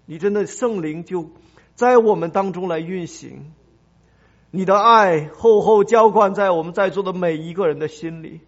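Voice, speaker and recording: A man leading a congregation in earnest prayer, speaking in solemn, flowing phrases. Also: high-pitched. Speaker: church prayer leader. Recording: dull lo-fi sound.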